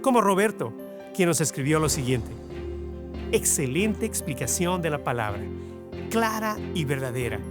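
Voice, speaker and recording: Animated higher-voiced male announcer over music. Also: moderate pace. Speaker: program announcer. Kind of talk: scripted promotional narration.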